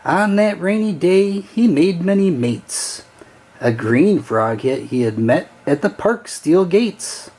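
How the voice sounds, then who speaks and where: A man reading a children's storybook aloud with an expressive, sing-song storytelling delivery. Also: sits high, takes it slowly. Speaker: storybook reader.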